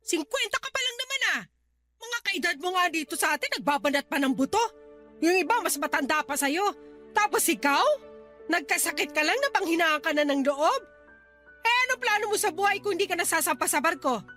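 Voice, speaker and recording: An actress playing a sharp-tongued, money-minded middle-aged wife and mother, scolding bluntly in a radio drama. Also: very high voice, animated, brisk, soft background music, full character voice. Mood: indignant, demanding. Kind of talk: Tagalog radio drama acting.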